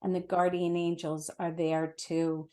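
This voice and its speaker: Slow-paced woman explaining spiritual ideas. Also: lowish voice, flat delivery, mellow. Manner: earnest, emphatic, explains with everyday examples. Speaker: interview guest, spiritual teacher and author. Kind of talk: conversational spiritual teaching in an interview.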